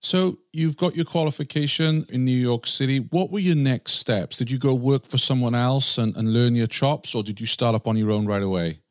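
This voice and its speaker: A man's voice: mid-range voice, normal speed, few pauses, no room sound, studio quality. A man hosting a conversational interview, affirming his guest and sharing personal anecdotes between questions. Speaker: podcast host, interviewer.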